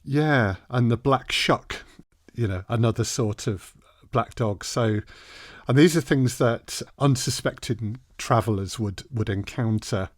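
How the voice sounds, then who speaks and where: A man hosting a podcast interview, speaking conversationally with measured, slightly hesitant phrasing. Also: mid-range voice, no echo, clean studio sound.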